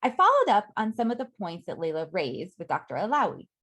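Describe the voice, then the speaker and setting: A middle-aged woman gives an academic faculty talk in a measured way, reading prepared remarks with frequent ums. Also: mid-range voice, wildly swooping pitch, a bit bright. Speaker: academic speaker.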